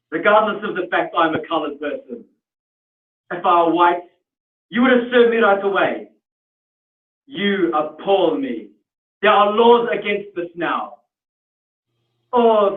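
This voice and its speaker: A man voicing an indignant, proud customer in a put-on South African accent, speaking slowly and scornfully. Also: sits high, animated, distant mic, voice pushed up. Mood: outraged. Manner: loud, slow and scornful, with stern declarations. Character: indignant middle-aged man, proud and outraged. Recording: tinny, echoey room from afar.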